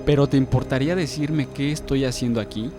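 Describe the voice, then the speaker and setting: A man playing a calm, wry gentleman reporter in an audiobook, with reverb and music around the voice. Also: medium pitch, a little fast, lightly acted. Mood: puzzled.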